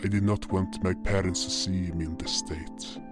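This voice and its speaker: A man's voice: sits low, fairly even intonation, moderate pace. A man narrating a scripted first-person fantasy story as its storyteller and voice actor. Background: music.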